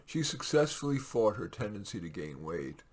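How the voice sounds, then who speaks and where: A man with a raspy, gravelly voice reads his own short stories aloud in a measured, expressive way, with casual asides. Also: fairly low pitch.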